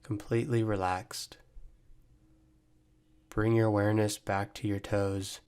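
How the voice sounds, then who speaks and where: A man guiding a body scan meditation, giving gentle, measured instructions with long pauses. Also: lowish voice, flat delivery, clear, resonant tone. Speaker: meditation guide, mental performance coach.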